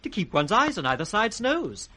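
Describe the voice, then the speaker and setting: An actor playing an impish, riddling British court jester in a 1960s radio Shakespeare drama. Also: male voice, sits high, big pitch swings, moderate pace, pushed and bright, full character voice. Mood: teasing. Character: impish, riddling court jester, male, teasing his master.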